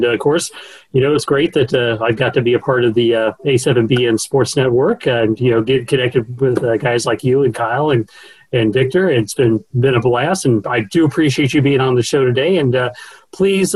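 A man hosting a casual interview show, talking haltingly and laughing often. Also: mid-range voice, a little mellow, poor audio. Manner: hesitant, many uh fillers, laughs often. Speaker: show host. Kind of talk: casual podcast interview chat.

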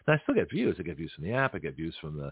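A man's voice: sits low, huge pitch swings, rapid-fire, husky, no room sound. A middle-aged man hosting a talk radio show, rambling in a casual monologue with frequent restarts and chuckles. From Canada. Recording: tinny phone-line audio.

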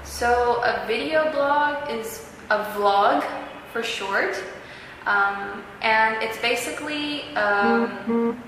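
Young woman guest, relaxed and explanatory, heavy room echo. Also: medium pitch. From Peru, raised in the United States. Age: young adult. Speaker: guest, video blogger. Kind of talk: unscripted interview answers. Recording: very echoey hall, faint noise.

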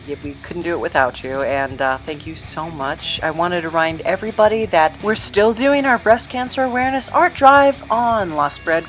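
Low-voiced woman hosting a casual podcast. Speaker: podcast host and creator.

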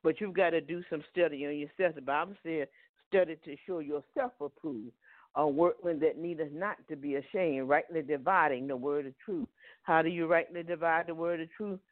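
Southern male preacher, slightly high voice, tinny phone-line audio, dry sound. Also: moderate pace, no room sound. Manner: peppers speech with "Amen" and "Hallelujah". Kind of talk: Bible teaching sermon.

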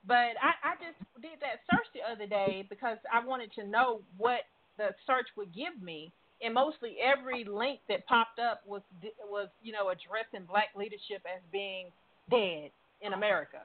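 A woman's voice: medium pitch, moderate pace. A woman hosting a call-in talk show, emphatic and repeating her questions for effect. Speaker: radio show host. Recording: tinny phone-line sound.

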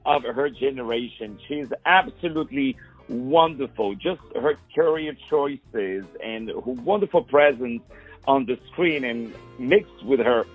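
A man's voice: medium pitch, unhurried, breathy edge, phone-quality sound, faint music behind. A man hosting a radio interview, effusive and repetitive, stumbling over words in his long questions. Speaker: radio host.

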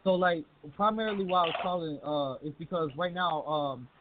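A man's voice: fairly high pitch, normal speed. Laid-back male caller on a phone line. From southern US. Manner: laid-back, many fillers. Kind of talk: call-in talk radio chat. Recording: phone-line audio with faint hiss.

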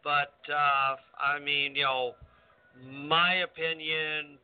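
A man's voice: fairly high pitch, little pitch movement, slow pace, slight background noise. Man co-hosting with tinny phone-like sound. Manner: hesitant, many fillers. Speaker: co-host, wrestling referee. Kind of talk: casual radio chat.

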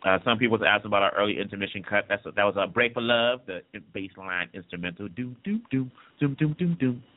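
A man from the Congo hosting a casual news commentary show, talking quickly and conversationally, often repeating himself as he thinks. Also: fairly low pitch, animated. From Democratic Republic of the Congo. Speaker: podcast news host. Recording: tinny phone-like line.

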